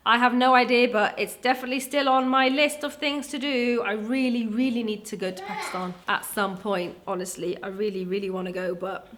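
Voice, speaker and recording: A woman's voice: on the high side, fairly quick, few pauses, a little hiss or hum. A young woman from Wales, chatting casually through a question and answer vlog. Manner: chatty, animated, acts out voices in her stories. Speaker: vlogger. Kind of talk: casual Q&A chat.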